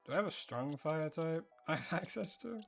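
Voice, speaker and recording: Excitable Australian streamer with lively pitch. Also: moderate pace, faint music behind. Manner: rambling, excitable, jumps into high squeals of surprise. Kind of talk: casual live-stream chat while gaming.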